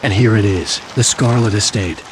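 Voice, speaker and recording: A man narrating a gothic mystery game as a brooding storyteller, in a measured, atmospheric scripted style. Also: on the low side, music in the background, natural voice, low-quality recording.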